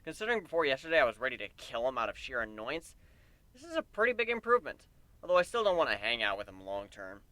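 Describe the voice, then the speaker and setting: A man giving an expressive amateur dramatic reading of a fantasy story, in a storytelling delivery. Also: mid-range voice, quick pace, dry recording. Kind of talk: dramatic reading of fan fiction.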